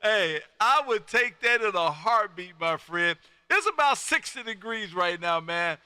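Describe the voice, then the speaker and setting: A man's voice: high voice, expressive, a little slow, bright, forceful tone, clear, resonant tone, no room sound. A folksy, exuberant man, chatting casually as a ham radio host who peppers his talk with "man". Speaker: amateur radio operator and live stream host.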